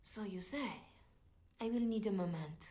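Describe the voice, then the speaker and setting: A woman's voice: medium pitch, moderate pace, mellow, natural voice. An actress playing a seductive, scheming woman spymaster in a video game, coaxing and condescending. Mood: cool. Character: seductive, scheming female spymaster, coolly possessive. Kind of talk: video game cutscene acting.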